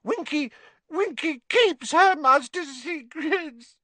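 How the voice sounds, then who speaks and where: Winky, a man doing a shrill weeping female house-elf. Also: animated, slow, pushed and bright, dry recording, full character voice. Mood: tearful distraught. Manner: broken grammar, wailing and sobbing. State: crying. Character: tearful, devoted female house-elf servant.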